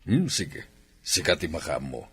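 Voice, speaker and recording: A man's voice: a bass voice, big pitch swings, unhurried, fairly bright tone, faint music behind, heavily acted. A man playing an authoritative wealthy father and company boss in an Ilocano radio drama, with an Ilocano accent. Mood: firm, fatherly. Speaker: voice actor in radio comedy drama.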